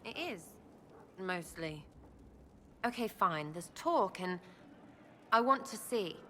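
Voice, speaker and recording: An actress playing a brash, irreverent young streetwise rebel in a video game, with a British accent and blunt, cheeky, choppy phrasing. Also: female voice, lowish voice, lively intonation, slow pace, pushed and bright, exaggerated voice. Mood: hesitant, earnest. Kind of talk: video game dialogue acting. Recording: clearly noisy ambient background.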